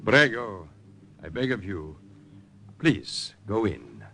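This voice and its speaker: A suave, scheming police count, lowish and silky, swooping freely through his pitch as he coaxes. Old radio drama delivery, smooth and sly. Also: lively intonation, slow pace, heavy background noise. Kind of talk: 1940s radio acting.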